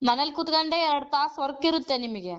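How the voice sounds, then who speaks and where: Brief: high, rapid-fire woman recruiter, pushy sales pitch, muffled phone line. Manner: pushy, repeats "okay?" to hurry the listener. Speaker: job recruiter on a phone call. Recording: muffled phone call recording.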